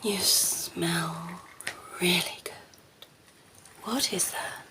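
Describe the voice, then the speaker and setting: A woman voicing a cheeky, flirtatious female vampire in an ASMR roleplay, breathy and often whispering, teasing and cooing with condescending affection. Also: on the low side, fairly even intonation, extremely slow. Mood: flirty, doting.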